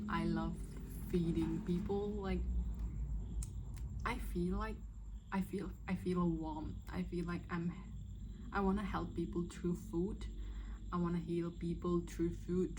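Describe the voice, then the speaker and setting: A woman's voice: lowish voice, heavy background noise. A woman in her twenties, from Myanmar, chatting casually and a little hesitantly through a first-video Q&A, with many fillers. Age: 20s. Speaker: vlogger.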